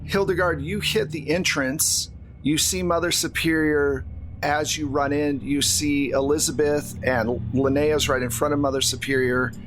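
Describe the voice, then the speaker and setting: A man with a slightly higher-pitched voice runs a tabletop roleplaying game. He narrates the action and explains rules, checking in with players. The recording has clear background noise. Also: fairly even intonation, unhurried, fairly bright tone, low-quality recording. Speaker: game master.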